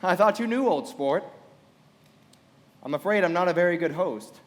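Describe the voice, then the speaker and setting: Young male society host, live radio play; courteous, assured, high voice in reverberant hall. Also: animated, moderate pace. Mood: genial courtesy. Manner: polite, genteel, assured. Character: courteous, genial young millionaire party host.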